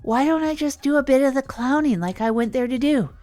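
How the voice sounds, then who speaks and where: A woman's voice: high voice, a little fast, warm tone, faint music behind, close to an everyday voice. A woman playing an earnest, self-effacing radio host who tells her misadventures in a chatty, hesitant, improvised way. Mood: chatty, reflective. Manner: hesitant, rambling, chatty, chuckles at herself. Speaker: narrator and radio show host. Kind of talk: improvised first-person audio drama monologue.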